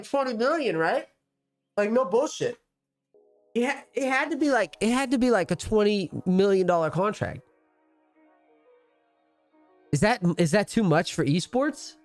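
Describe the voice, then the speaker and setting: A man in his thirties giving casual, rambling commentary as a gaming coach, full of slang and swearing. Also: a little high. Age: 30s. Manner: rambling and profane, loose slang. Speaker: League of Legends coach and streamer.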